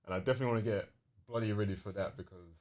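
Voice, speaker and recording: A man's voice: lowish voice, very fast, darkish tone. A young man with a London accent chatting casually and enthusiastically on a gaming podcast, using plenty of slang.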